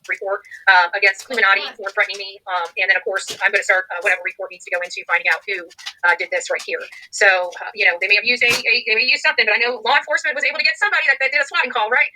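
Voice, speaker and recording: Woman, extremely rapid speech, rambling, insistent, faint background noise. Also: mid-range voice, fairly even intonation, pushed and bright, low-quality recording. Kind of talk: informal phone call to make a report. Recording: faint noise, played-back clip.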